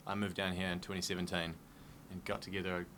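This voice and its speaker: A young man with a New Zealand accent, a band leader chatting casually on radio, relaxed and laughing easily. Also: low-pitched, quick pace, crowd noise. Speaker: band leader, guest musician. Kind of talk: casual interview chat. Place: radio studio.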